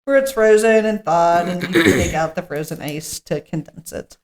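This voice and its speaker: A woman's voice: on the low side, moderate pace. A woman co-hosting a podcast, reading a script aloud at a table read and dropping in casual, joking asides. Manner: jokey asides while reading. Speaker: podcast co-host.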